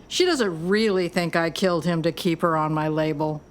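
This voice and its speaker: A woman's voice: low-pitched, normal speed, close to an everyday voice. An actress playing a tough, blunt American record label boss and worried mother, middle-aged, in an audio drama. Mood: defiant, blunt.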